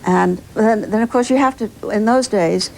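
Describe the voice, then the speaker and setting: Elderly female interviewee, retired actress: gravelly fry, matter-of-fact, halting casual talk. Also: mid-range voice, darkish tone, a little hiss or hum, rough recording. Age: 70s or older. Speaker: interviewee, former radio actress.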